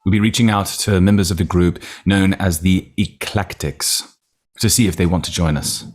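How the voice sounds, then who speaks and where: An actor playing a grave, polite and formal leader of a secretive group in a screenplay table read. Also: male voice, low-pitched, fairly even intonation, normal speed. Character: grave, formal male leader preparing for the future.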